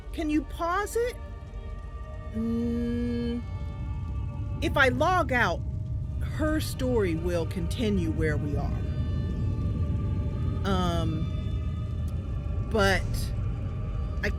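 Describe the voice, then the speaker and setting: A woman hosting a gaming channel, giving casual, chatty, playful gameplay commentary. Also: medium pitch.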